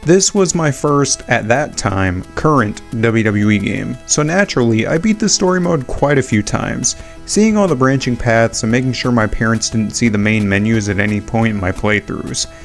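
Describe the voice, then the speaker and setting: Male video essay narrator, casual sweary opinionated fan talk, medium pitch, music bed behind. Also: normal speed, gravelly, hardly any pauses. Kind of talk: casual retrospective video commentary.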